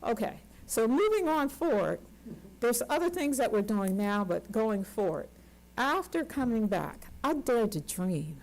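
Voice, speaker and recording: A woman's voice: on the high side, very animated, unhurried, darkish tone, rough recording. Earnest woman in her sixties. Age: 60s. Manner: earnest, emphatic, repeats words for stress. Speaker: community organizer on a panel. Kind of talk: unscripted panel remarks. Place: conference panel.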